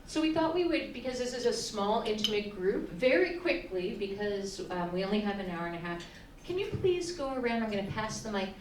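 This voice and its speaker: A woman's voice: mid-range voice, big pitch swings, a little fast, few pauses. A woman facilitating an event, informally guiding a small group through introductions. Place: indoor breakfast roundtable session. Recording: distant mic in echoey noisy room.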